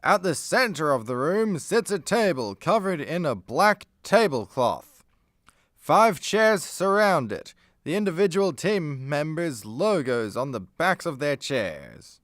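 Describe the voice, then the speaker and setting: A man's voice: on the high side, very animated, slow pace, a bit bright, no echo, studio quality. A man reading scripted narration for a radio play.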